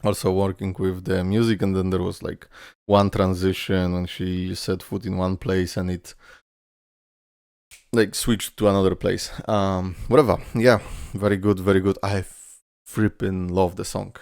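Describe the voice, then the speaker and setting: A man's voice: low-pitched, little pitch movement, measured pace, vocal fry, a little mellow, clean tone, no room sound. A man who is a native Polish speaker, giving casual, rambling reaction commentary that often trails off and repeats words. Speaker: YouTube reaction host. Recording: music playing behind. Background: game video music.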